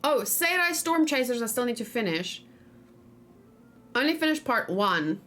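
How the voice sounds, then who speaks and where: A woman's voice: on the high side, big pitch swings, unhurried, pushed and bright. A woman streaming a video game, thinking aloud informally and talking casually with her viewers. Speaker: video game streamer, let's play host. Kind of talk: casual live game commentary, chatting with viewers.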